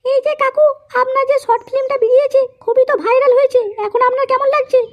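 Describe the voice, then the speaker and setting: A woman's voice: very high-pitched, flat delivery, fast, highs cut off. A woman voicing an eager, chirpy young woman in a comedy cartoon. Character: squeaky, eager young woman, girlfriend or reporter.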